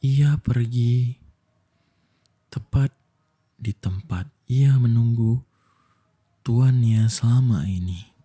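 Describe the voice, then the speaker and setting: A young man gives a gentle, soothing ASMR bedtime delivery in a soft, breathy voice. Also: medium pitch. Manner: slow, gentle, soothing delivery with long pauses. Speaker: ASMR voice actor telling a bedtime story as a boyfriend.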